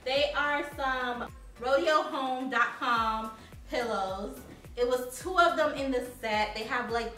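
A woman's voice: on the high side, pushed and bright. A young woman from Haiti chatting casually to her viewers as she shows off home decor, with a bubbly manner and lots of "like"s. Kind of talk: casual haul video. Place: living room, seated on a sofa. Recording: roomy sound from a distant mic.

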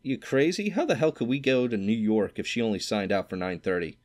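A man voicing a cocky, irritable teenage boy roommate who swears and scolds loudly. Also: on the low side, animated, fast talker, natural voice. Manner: loud, exasperated, swearing.

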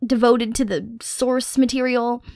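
A woman's voice: a little high, fairly even intonation, unhurried, a little mellow, no room sound, studio quality. A young woman playing a dorky, enthusiastic horror-nerd girlfriend in an ASMR roleplay, rambling casually and musing aloud. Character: dorky, Halloween-obsessed girlfriend, nerdy and enthusiastic. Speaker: voice actor in audio roleplay.

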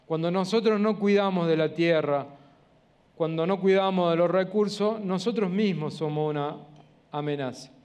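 A man in his fifties with an Argentine accent from Córdoba, giving a measured, earnest public science talk with frequent hesitations, in an auditorium. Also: high-pitched, a little mellow. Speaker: guest lecturer. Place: auditorium with a seated audience.

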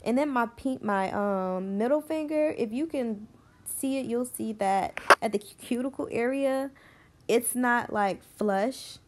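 A woman's voice: a little high, a little slow, very noisy. A young woman narrates a nail tutorial in a loose, chatty way, full of fillers and asides. Her voice sounds stuffy and congested, as if she has a cold. She speaks close to the mic. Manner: rambling, chatty, lots of fillers. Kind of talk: casual voice-over tutorial.

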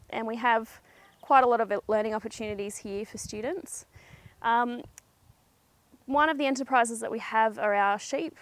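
A woman with an Australian accent and a slightly high voice. She speaks like a teacher introducing a place to visitors, informally and straight to camera. She is recorded outdoors on a clip-on mic. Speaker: high school agriculture teacher. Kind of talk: informal virtual tour presentation to camera. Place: outdoors on a school farm. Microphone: clip-on lapel mic.

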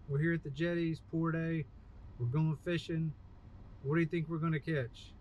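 A man hosting a casual fishing vlog outdoors, excitedly coaching with short, repeated, urgent calls. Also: a little high, moderate pace. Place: outdoors on a fishing jetty by the water. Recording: muffled, very noisy outdoor recording. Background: loud wind and water noise.

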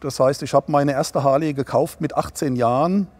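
A man in his sixties, co-owner of a dealership, talking calmly in an interview with many hesitations. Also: on the high side, moderate pace. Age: 60s. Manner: relaxed, hesitant with many fillers. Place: showroom, seated on a sofa indoors.

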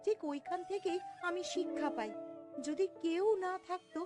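Woman acting an earnest, high-voiced young wife. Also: moderate pace. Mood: repentant, earnest. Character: young wife, earnest, repentant daughter-in-law. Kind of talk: Bengali family cartoon acting. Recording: loud music behind the voice.

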